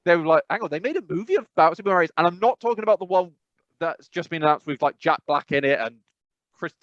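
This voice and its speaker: A man's voice: on the high side, animated, quick pace, a bit bright, no echo. A man co-hosting a casual podcast, rambling with lots of fillers and restarts. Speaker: podcast co-host. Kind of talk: casual chat. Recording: dry, slightly dull sound.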